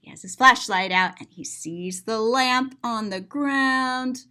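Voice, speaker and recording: A woman's voice: a little high, slow, pushed and bright. A woman reading aloud to children as a storyteller, expressively, with simple explanatory asides and dramatic gasps. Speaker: storyteller, author and teacher.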